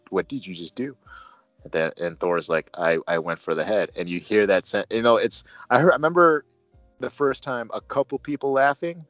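A man with a very low voice hosts a casual film chat. He talks thoughtfully and haltingly, with a fan's enthusiasm. The sound is dry and a bit dull, with music behind him. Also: a bit bright, dry recording. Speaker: movie review show host.

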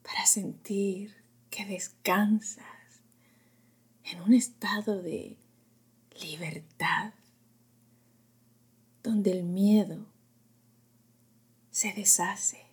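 A woman narrating a guided sleep meditation in a gentle, unhurried, whispery voice, in short phrases with long pauses. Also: mid-range voice, steady tone. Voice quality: breathy, whispery, often dropping into whisper.

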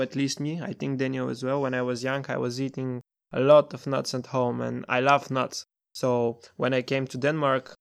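A man's voice: medium pitch, creaky. A man, a startup co-founder answering interview questions in a plain, matter-of-fact way. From Bulgaria.

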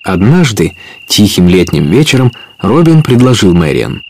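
A man's voice: on the low side, big pitch swings, moderate pace, darkish tone, a little hiss or hum. A man narrating an adventure story in a scripted radio play, as a storyteller.

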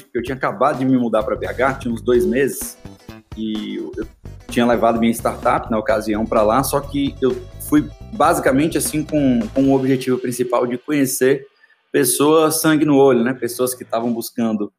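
A man's voice: mid-range voice, moderate pace, darkish tone, soft background music. Friendly Bahian man telling a story. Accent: Bahian Brazilian Portuguese. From Salvador, Bahia, Brazil. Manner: friendly, rambling, many fillers. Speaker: podcast guest, entrepreneur. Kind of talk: casual storytelling interview.

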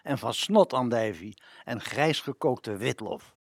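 Actor as lively, blunt older man reminiscing. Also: medium pitch, a little slow, vocal fry, slightly rough, no room sound, full character voice. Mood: amused distaste. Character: opinionated older man reminiscing about old-fashioned food. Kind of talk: radio play reminiscence.

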